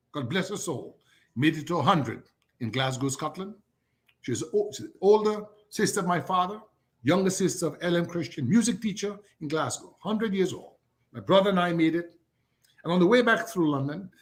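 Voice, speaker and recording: An older man from Dominica, speaking as a guest on a political talk show in a fiery way, piling up repeated rhetorical lists. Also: on the high side. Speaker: guest commentator.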